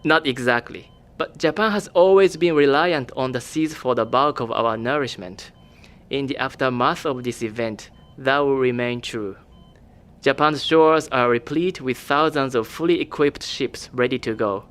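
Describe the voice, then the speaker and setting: A man playing a cool, evasive male government official who speaks in a calm, formal, measured way, in an audio drama. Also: medium pitch, natural voice.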